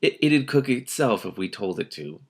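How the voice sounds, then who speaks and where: A hungry, menacing ogre boss from a storybook , acted out by a man reading aloud. He is gruff and contemptuous, taunting slowly and deliberately. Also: medium pitch, sing-song. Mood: smug.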